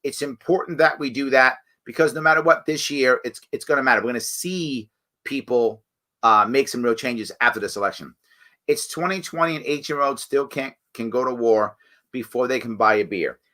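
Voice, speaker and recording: A man hosting a live stream, talking casually and reading viewer comments, his voice raspy and a bit hoarse from weeks of campaigning. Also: mid-range voice, normal speed. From New York State. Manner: conversational, animated, frequent fillers and restarts. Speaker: show host.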